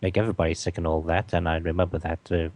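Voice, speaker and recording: Very deep, flat-voiced man. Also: no echo. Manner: slow, matter-of-fact storytelling. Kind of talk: casual group chat.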